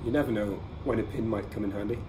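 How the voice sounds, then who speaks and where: A man hosting a casual product review, explaining things conversationally in short, measured phrases. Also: lowish voice, fairly even intonation. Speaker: podcast host reviewing everyday carry products. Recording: clearly audible ambient background noise.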